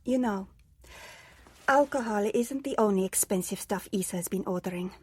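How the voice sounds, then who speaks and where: Husky, shrewd woman played by an actress. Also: mid-range voice, normal speed, no room sound, lightly acted. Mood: concerned, uneasy. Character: shrewd, practical woman, a businessman's trusted confidante. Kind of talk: radio drama dialogue.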